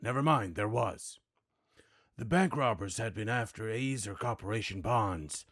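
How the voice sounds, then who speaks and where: A man doing video game commentary and voice practice in a dry, deadpan way, muttering at times. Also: fairly low pitch, unhurried, no room sound. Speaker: gamer practicing voice acting. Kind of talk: gameplay commentary mixed with character-voice practice. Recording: clearly audible background noise, clicks.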